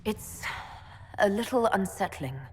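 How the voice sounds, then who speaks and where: A woman's voice: medium pitch, animated, unhurried, husky. A woman playing a reserved, questioning young female mage hero in a fantasy game. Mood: guarded, questioning. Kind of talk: fantasy game cutscene acting. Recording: long hall reverb, music behind.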